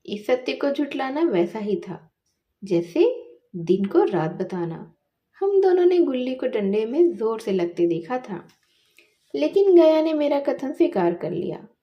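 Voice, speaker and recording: A woman reading a story expressively, with dramatic pauses and emphatic repetition. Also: sits high, sing-song, muffled recording.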